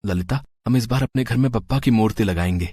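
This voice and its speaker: A man's voice: medium pitch, quick pace, background noise, natural voice. Humble, hesitant poor servant voiced by a man. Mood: earnest. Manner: hesitant, deferential. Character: poor, humble, devout young husband and servant. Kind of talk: cartoon moral story dialogue.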